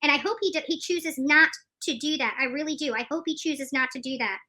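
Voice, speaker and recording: High, bright-voiced woman giving emphatic commentary. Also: fairly quick. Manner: emphatic, repeats phrases for stress. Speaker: streamer commenting on court news. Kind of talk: live opinionated legal commentary.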